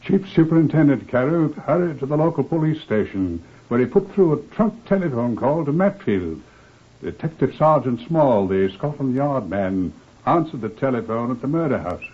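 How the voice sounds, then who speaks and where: A man with a husky voice reading scripted narration for an old radio crime drama. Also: mid-range voice, very animated, normal speed. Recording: tinny, band-limited old radio recording.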